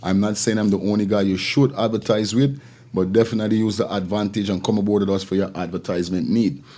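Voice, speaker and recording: A man's voice: lowish voice, steady tone, fast, warm tone. A man with a Caribbean accent hosting a casual live stream in informal, slangy, repetitive patter. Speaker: live show host. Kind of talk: casual live-stream talk with shout-outs.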